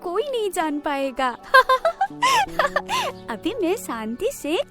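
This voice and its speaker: A woman's voice: extremely high pitch, huge pitch swings, drawn-out words, over loud music, exaggerated voice. A woman voicing a small, devoted, anxious mother bird in a cartoon story, earnest and theatrical in delivery. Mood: busy, content. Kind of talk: cartoon moral story voice acting.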